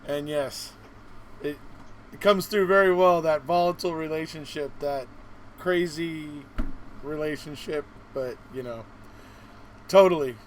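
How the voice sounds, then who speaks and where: High-pitched man hosting over background noise. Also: a little slow, pauses often. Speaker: live-stream host. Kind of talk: casual interview chat.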